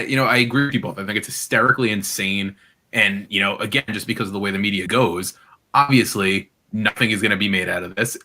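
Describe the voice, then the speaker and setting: A man's voice: on the low side, sharp tone, a little hiss or hum. A man co-hosting a podcast, talking casually with a joking, sarcastic edge. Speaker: podcast co-host. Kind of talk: casual chat.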